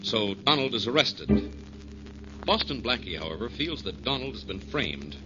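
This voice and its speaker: Lively male 1940s radio announcer over music. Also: on the low side, normal speed. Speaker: announcer, narrator. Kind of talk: 1940s radio scripted narration. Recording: dull 1940s radio recording. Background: loud-ish music.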